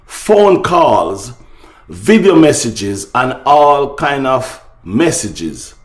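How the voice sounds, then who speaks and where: A man's voice: medium pitch, big pitch swings, very slow, reverberant. A man with a Jamaican accent hosting casual opinion talk for his viewers, speaking emphatically and repeating phrases. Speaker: online talk show host.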